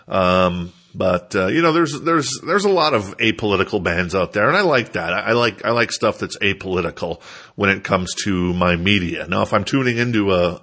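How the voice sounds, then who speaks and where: A man's voice: fairly low pitch, a bit bright. A stuffed-up man, rambling casually and self-deprecatingly as he hosts a solo podcast. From Minnesota, United States. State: stuffed up, congested. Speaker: podcast host.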